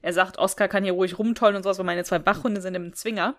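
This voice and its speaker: A woman's voice: medium pitch, steady tone, quick pace, fairly bright tone. A woman co-hosting a podcast, chatting casually in an amused, lightly teasing way. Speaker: podcast co-host. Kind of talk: casual chat, recounting anecdotes.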